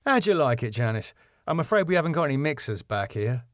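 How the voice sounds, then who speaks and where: A man voicing a cheeky, smooth male host in a sitcom script reading. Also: mid-range voice, sing-song, fast talker, no room sound, studio quality, voice pushed up, lightly acted. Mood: cheekily polite.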